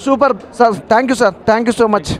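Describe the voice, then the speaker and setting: A man's voice: extremely high pitch, moderate pace. A man with a Telugu accent giving a casual, enthusiastic vox pop review as a moviegoer.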